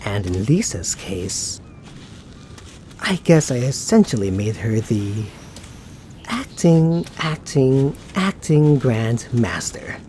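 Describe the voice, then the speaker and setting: Man acting suave young male knight, ASMR roleplay, unhurried musing delivery, lively pitch movement, faint rumbling noise behind. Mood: musing, fond. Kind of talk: ASMR roleplay addressed to the listener.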